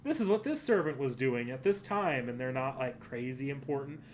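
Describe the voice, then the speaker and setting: A man's voice: mid-range voice, expressive, moderate pace, darkish tone, slight background noise. A man hosting a casual livestream, chatting with viewers in a rambling way, thinking aloud and often correcting himself. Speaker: streamer and host.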